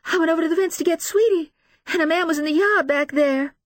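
Audiobook role: distraught mother, extremely high voice, halting, breathless and weepy, close dry recording. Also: animated, no room sound. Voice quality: tearful, wailing. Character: distraught, frightened, defensive grieving mother. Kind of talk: dramatised audiobook dialogue.

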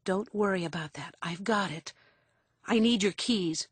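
A woman's voice: mid-range voice, unhurried, slightly rough, no echo. A woman voicing a distressed, protective grown daughter in an audiobook, pleading and firm. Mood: firm concern. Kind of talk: audiobook character acting.

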